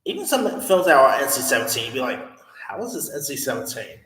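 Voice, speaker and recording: A man's voice: mid-range voice, sing-song, quick pace, fairly bright tone. A man giving a casual, rambling film review, full of fillers and restarts. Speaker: movie reviewer. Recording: echoey room with long reverb.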